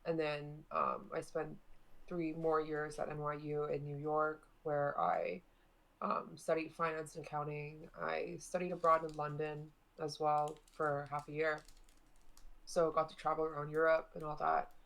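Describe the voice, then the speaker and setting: A young woman from Hong Kong, a podcast guest, calmly recounting her background in a matter-of-fact way. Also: deep voice, measured pace, creaky, rough recording. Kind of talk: interview answers.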